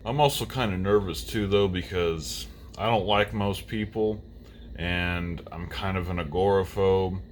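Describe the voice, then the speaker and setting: An undead reaper and metal musician, played by a man, speaks in a low voice. He is brooding yet polite, answering as a talk show guest in an earnest, measured way. A light ambient noise sits underneath. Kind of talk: interview answers.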